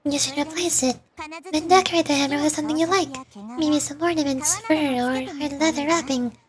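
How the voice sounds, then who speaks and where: Woman voicing a high-voiced gentle, wise child goddess. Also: steady tone, a little fast, crowd noise, natural voice. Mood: gently advising. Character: gentle wise child deity, curious and earnest girl. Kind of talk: scripted game character dialogue. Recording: game music behind the voice.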